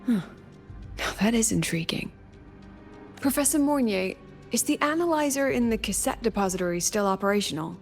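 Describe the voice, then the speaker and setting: A woman's voice: fairly high pitch, expressive, moderate pace, faint music behind. A woman playing a cool, inquisitive researcher in a video game, with a wry, intrigued manner. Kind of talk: scripted game dialogue.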